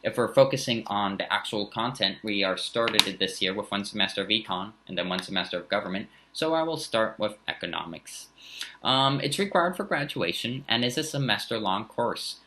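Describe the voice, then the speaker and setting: A man's voice: on the low side, expressive, normal speed, sharp tone, a little hiss or hum. Earnest man teacher, slight German accent. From San Francisco Bay Area. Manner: earnest, many fillers and restarts. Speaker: high school social studies teacher. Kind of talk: recorded back-to-school talk to parents.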